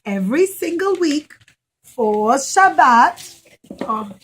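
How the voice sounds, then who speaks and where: A woman's voice: high voice, big pitch swings, slow pace, raised voice, background noise. A woman storytelling to nursery children as a puppeteer and teacher, asking questions and stretching out key words. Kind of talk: animated storytelling to young children.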